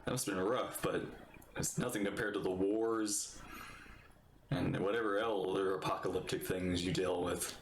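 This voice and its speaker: A man giving casual live commentary as he plays a game, musing aloud in a relaxed streamer's way. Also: deep voice, normal speed, background noise. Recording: roomy echo, game music behind. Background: video game music.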